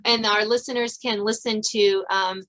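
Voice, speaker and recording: A woman's voice: medium pitch, fairly even intonation, normal speed, a bit bright. Woman hosting a conversational podcast interview. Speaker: podcast host.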